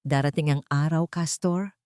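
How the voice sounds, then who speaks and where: A woman voicing a meek but dignified young single mother in a story, soft and restrained. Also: sits low, steady tone, normal speed, smooth, no room sound, clean studio sound, natural voice. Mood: quietly hurt.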